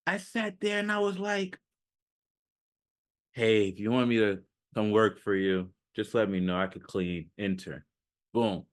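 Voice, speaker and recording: A man speaking off the cuff like a self-improvement coach, casual and slangy, repeating phrases for emphasis and often checking in with the listener. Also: medium pitch. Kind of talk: casual off-the-cuff motivational talk.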